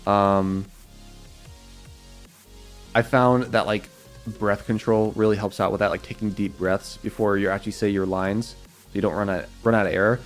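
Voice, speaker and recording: A young man with a slightly raspy voice, casually answering viewer questions on a livestream in a rambling, filler-heavy way. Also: lowish voice, fairly even intonation, normal speed, long pauses, soft background music. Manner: rambling, loose, many fillers and repeats.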